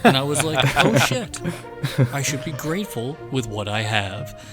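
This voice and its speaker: A man's voice: mid-range voice, expressive, moderate pace, lightly acted. A man playing a smarmy male TV news anchor, in a mock-formal newscaster delivery over background music. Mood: deadpan newscast. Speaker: comedy reader.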